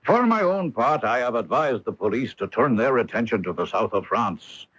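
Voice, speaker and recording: A man's voice: mid-range voice, animated, moderate pace, fairly bright tone. A man playing a stiff, dignified aristocratic father in a radio mystery drama, formal and haughty. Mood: formal, decisive. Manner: formal, haughty, hesitant under questioning. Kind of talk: old-time radio mystery drama acting. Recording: dull lo-fi AM radio broadcast.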